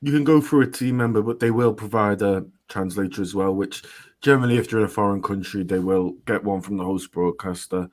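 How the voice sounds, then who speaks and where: A man co-hosting a podcast, explaining points in a measured way with some fillers. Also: lowish voice. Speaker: podcast co-host. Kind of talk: casual chat.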